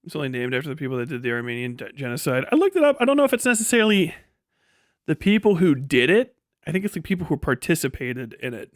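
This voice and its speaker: A man with a fairly high, clean and smooth voice talks casually as a live streamer, close and dry with little room sound. He is blunt and sweary, wandering through his opinions in a mix of formal words and slang. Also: sing-song, quick pace, no echo. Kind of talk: casual opinionated livestream talk.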